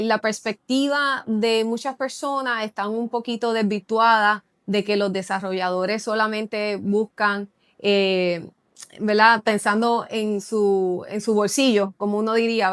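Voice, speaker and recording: A woman's voice: fairly high pitch, smooth, no echo, clean studio sound. A woman with a Puerto Rican Spanish accent interviewing a guest in a friendly way, mixing English words into her Spanish. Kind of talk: interview questions.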